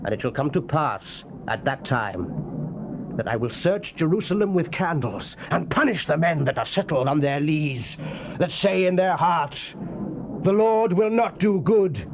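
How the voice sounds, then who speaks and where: An actor playing a fiery prophet proclaiming God's wrath in solemn, archaic, declamatory scripture style. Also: male voice, on the high side, animated, a little slow. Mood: solemn, urgent. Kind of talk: dramatized scripture reading, archaic King James English. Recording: muffled, noisy old cassette tape.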